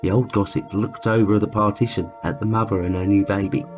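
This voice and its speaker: A man reading a children's story aloud as a storyteller, with theatrical, drawn-out emphasis. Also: on the low side, fairly even intonation. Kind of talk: expressive read-aloud storytelling. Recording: muffled lo-fi sound with music behind. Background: music.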